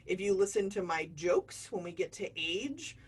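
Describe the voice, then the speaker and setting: A woman's voice: lowish voice, expressive, normal speed, breathy edge. A woman in her forties from the United States, giving an online diversity training in a chatty, self-deprecating way with jokey asides. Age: 40s.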